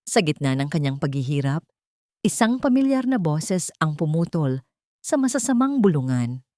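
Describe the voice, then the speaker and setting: Low-pitched woman narrating a dramatic story. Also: big pitch swings, normal speed, mellow, no echo, clean studio sound.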